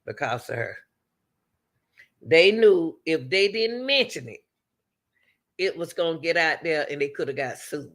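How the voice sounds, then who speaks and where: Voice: medium pitch, expressive, measured pace, long pauses, a bit bright. A man giving impassioned, repetitive, exhorting reaction commentary as the host of an online show.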